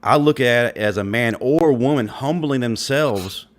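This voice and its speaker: A man co-hosting a casual talk, thoughtful and measured. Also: medium pitch. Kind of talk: casual chat.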